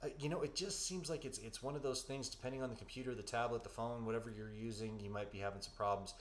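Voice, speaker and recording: A man's voice: mid-range voice, fast talker. A man co-hosting a live psychic podcast, giving readings and advice in a hesitant, reassuring way full of "um"s. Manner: hesitant, filler-heavy, reassuring. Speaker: psychic medium podcast co-host. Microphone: studio mic. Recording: echoey room with faint background noise.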